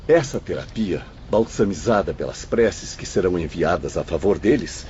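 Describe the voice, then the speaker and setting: Man voicing a polite earnest spirit helper, middle pitch, bit dull with a faint hiss. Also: animated, normal speed, mellow. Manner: courteous, earnest. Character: cordial male spirit assistant. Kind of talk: audio drama acting.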